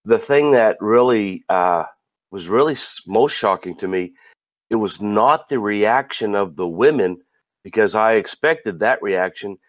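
A man with a lowish voice gone raspy from a summer cold speaks bluntly and casually. His sound is dry and close, with no room around it. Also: expressive, a little slow, no echo. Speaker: caller, ministry partner.

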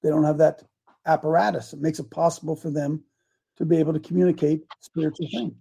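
A man's voice: a little high, little pitch movement, fast talker, vocal fry, warm tone, slightly rough. A man in his seventies preaching a casual, folksy sermon, repeating his points with emphasis. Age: 70s.

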